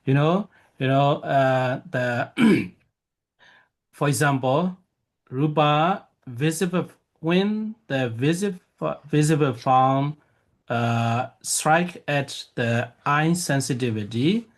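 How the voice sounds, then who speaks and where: Burmese monk lecturing real slow, lots of uh and restarts, mid pitch. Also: male voice. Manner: halting, many fillers and restarts, laughs easily. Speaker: Buddhist monk teacher. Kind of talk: Dharma lecture with questions.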